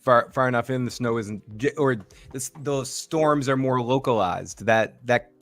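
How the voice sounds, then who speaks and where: A man's voice: medium pitch, a little slow, fairly bright tone, no room sound, faint music behind. A man with a Boston accent co-hosting a casual podcast, easygoing and agreeable, often restarting his sentences. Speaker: co-host.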